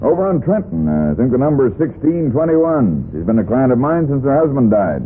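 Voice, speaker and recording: An actor playing a smooth-talking, evasive and easily affronted lawyer in an old-time radio crime drama. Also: male voice, medium pitch, very animated, a little fast, natural voice. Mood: composed. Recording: tinny, noisy vintage radio recording.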